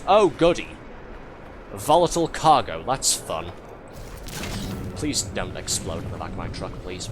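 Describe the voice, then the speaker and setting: A man's voice: on the low side, background noise. A man with a head cold, streaming a game live, chatting and joking and reading on-screen text aloud as he plays. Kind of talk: casual live gameplay commentary. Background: loud game music.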